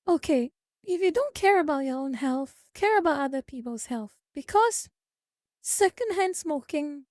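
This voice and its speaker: A woman's voice: high voice, a little mellow, no room sound, clean studio sound. A woman streaming live, chatting casually with viewers, reading their comments and lecturing them. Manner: chatty, lecturing, reads chat aloud. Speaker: VTuber streamer.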